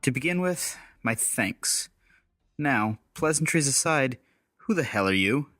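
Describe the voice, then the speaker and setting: A man's voice: mid-range voice, lively intonation, measured pace, fairly bright tone, no room sound, clean studio sound, soft background music. Man playing a sardonic, wary adventurer agent. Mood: wary, challenging. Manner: sardonic, challenging, theatrical. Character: wary, wry male secret agent adventurer abroad. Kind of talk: steampunk audio drama acting.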